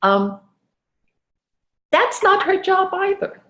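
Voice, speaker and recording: Accented woman chatting in an interview, lively, lots of laughs and restarts, roomy echo. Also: very high voice, slow. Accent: foreign accent. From Denmark, raised in Venezuela. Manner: animated storytelling, laughs often, many restarts. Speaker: entrepreneur guest. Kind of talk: casual interview chat.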